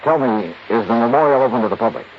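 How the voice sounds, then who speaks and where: A man's voice: mid-range voice, fast talker, close to an everyday voice. An actor playing a cool, polite male insurance investigator with an American accent, narrating and questioning in a radio detective drama. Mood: probing. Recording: noisy, muffled old 1950s radio recording.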